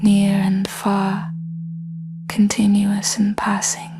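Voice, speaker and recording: A woman with a soft, breathy, hushed voice leading a scripted guided body scan meditation in short phrases with long pauses. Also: mid-range voice, completely flat delivery.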